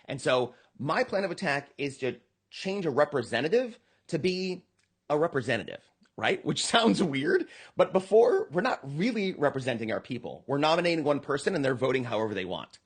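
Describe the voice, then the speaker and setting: An American man speaking as an interviewed political candidate, earnestly explaining his campaign pitch in a conversational, emphatic way. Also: big pitch swings, normal speed, slightly rough.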